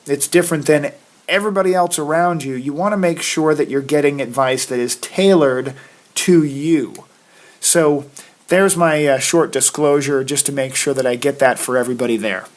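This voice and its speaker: A middle-aged man from Maine hosting a solo podcast, his voice raspy from a cold, talking in a folksy, conversational way with plenty of filler. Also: on the high side, moderate pace. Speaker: podcast host, financial planner. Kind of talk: casual solo podcast talk.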